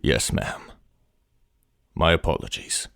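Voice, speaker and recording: A man playing a gruff, stern henchman in an audio drama, stiffly deferential to the boss. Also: slow pace, a bit bright, a little hiss or hum. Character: gruff, loyal male henchman guard, stern and servile.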